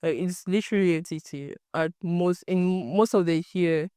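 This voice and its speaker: A woman's voice: on the low side, mellow, smooth, no room sound, studio quality. Hesitant young woman, Cameroonian French accent. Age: young adult. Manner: hesitant, pausing to search for words. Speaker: student researcher. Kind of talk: documentary interview answers.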